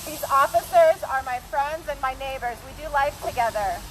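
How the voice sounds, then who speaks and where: A woman's voice: high-pitched, moderate pace. A woman from North Carolina giving an impassioned, accusatory protest speech through a bullhorn outdoors. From North Carolina, United States. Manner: insistent, accusatory. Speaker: protest speaker. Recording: noisy outdoor bullhorn recording.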